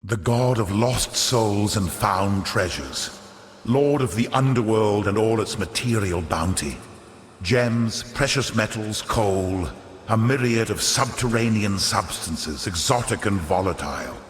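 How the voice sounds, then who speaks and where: An actor playing a wily, vain, centuries-old merchant lord in a video game, pompous and theatrical, with ornate aristocratic diction. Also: male voice, lowish voice, a little slow, close to an everyday voice. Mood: reverent, lofty. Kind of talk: scripted video game character monologue. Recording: echoey hall with long reverb.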